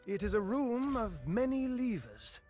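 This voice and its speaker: An actor playing an ancient, enigmatic wizard in a fantasy video game, speaking in a measured, theatrical and wryly weary way. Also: male voice, sits high, big pitch swings, a little mellow, soft background music. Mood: solemn, cryptic.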